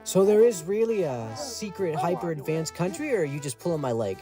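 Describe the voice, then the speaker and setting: An actor playing a cheeky, eager young man with a husky, mellow voice in an audio drama. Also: fairly high pitch, big pitch swings, a little fast, music in the background. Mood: teasing, griping. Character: cheeky, eager young male best friend, teasing.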